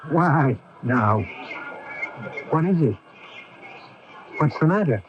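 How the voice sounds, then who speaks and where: A man playing an anxious, doting middle-aged father in a 1980s radio drama, fussing protectively in a husky voice. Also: a little high, lively intonation, slow pace, slight background noise. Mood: concerned, comforting. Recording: dull lo-fi 1980s AM radio recording.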